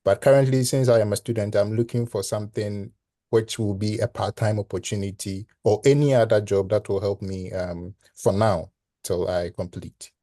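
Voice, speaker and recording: A man from Ghana, introducing himself on a video call in a measured, formal way. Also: on the low side, steady tone, dark tone, no echo, clean studio sound. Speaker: student, event attendee.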